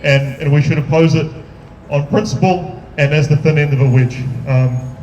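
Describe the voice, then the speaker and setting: A man's voice: a little high, moderate pace, darkish tone, muffled recording. A man speaking as an activist at a protest rally. He is earnest, grows more intense as he goes, and restarts his phrases. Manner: earnest, builds to raised intensity, repeats words when restarting. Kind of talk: rally speech. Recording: echoey, distant, faint background noise.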